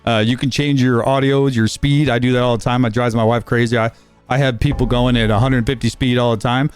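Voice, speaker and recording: Man in his fifties, gaming vlogger, fast rambling talk, mid pitch, faint music behind. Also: steady tone. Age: 50s. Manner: rambling, fast-talking, frequent fillers and restarts. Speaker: gaming channel host. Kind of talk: casual vlog update.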